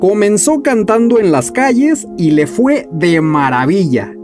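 A man in his thirties hosting a music video, reading a scripted countdown narration with lively delivery. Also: high voice, unhurried. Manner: lively, announcing countdown entries. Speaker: music journalist and channel host. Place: small room at home, indoors.

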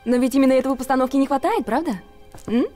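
Actress doing arch self-assured theatre diva, high voice, very expressive. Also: female voice, rapid-fire, long reverb tail, soft background music, close to an everyday voice. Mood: animated, persuasive. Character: self-assured, haughty provincial stage actress. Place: theatre stage, indoors.

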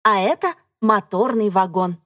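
A woman reading scripted educational narration for young children, phrasing deliberately and putting questions to the listener. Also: medium pitch, fairly bright tone. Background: music.

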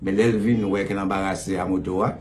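A man in his fifties with a Haitian accent, answering interview questions as an official in an emphatic, deliberate, repetitive way over a video call. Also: low voice, steady tone, a little mellow, faint music behind. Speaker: police official being interviewed. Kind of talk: interview answers mixing Haitian Creole and French.

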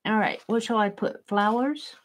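A woman's voice: a little high, normal speed. A woman chatting to herself as she works in an art journal at her craft table, musing aloud about what to draw next. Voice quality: loud voice. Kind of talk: casual self-talk while drawing. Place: home craft table, indoors.

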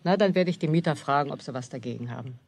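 A woman's voice: deep voice, very fast, darkish tone, dry recording. An actress playing a practical, dry-humoured old grandmother in a children's radio play.

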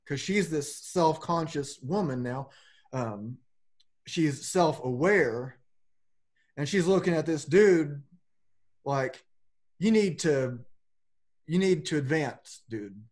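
Slow-talking man in his forties. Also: fairly high pitch, lively intonation, a little mellow. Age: 40s. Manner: loose and rambling, with casual swearing. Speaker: podcast host. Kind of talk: casual philosophical conversation. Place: home room with a plain backdrop. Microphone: webcam on a video call.

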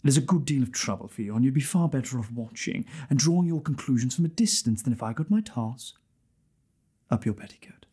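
Man playing a husky, lewd libertine nobleman. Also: mid-range voice, expressive, fairly quick, mellow. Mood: smug, provocative. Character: arrogant, lewd libertine nobleman addressing an audience. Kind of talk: theatrical prologue monologue.

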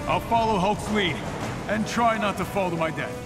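An actor playing a resolute, earnest heroic soldier who leads a team in a video game. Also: male voice, sits high, normal speed, close to an everyday voice. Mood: determined. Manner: earnest, determined. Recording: loud music over the voice.

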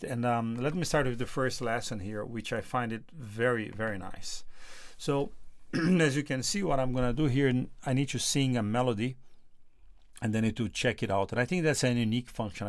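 A man whose first language is Portuguese, reviewing music software in a casual, halting way, often repeating words. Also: medium pitch, dry recording. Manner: halting, repeats words, sings test notes loudly.

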